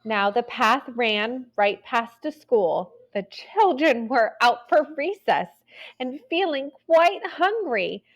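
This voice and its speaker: A woman's voice: on the high side, very animated, slow. A woman narrating a children's story expressively, as if reading a picture book aloud.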